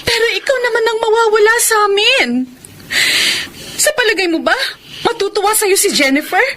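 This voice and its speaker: A woman's voice: very high voice, moderate pace, sharp tone. A woman acting a worried, caring but reproachful wife and mother in a Filipino radio drama, emotional and pleading. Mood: reproachful, hurt. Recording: radio drama with clear background noise.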